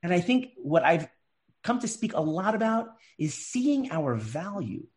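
A man telling a story in an animated way, often repeating words as he speaks. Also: high-pitched, unhurried. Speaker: guest speaker. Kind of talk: storytelling talk.

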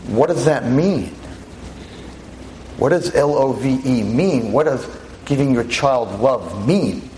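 A veteran teacher in his sixties lecturing an audience on a microphone, with a loud voice, emphatic and full of rhetorical questions. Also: mid-range voice, lively intonation, slow, slight background noise. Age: 60s. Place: talk in front of an audience. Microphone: handheld or stand mic.

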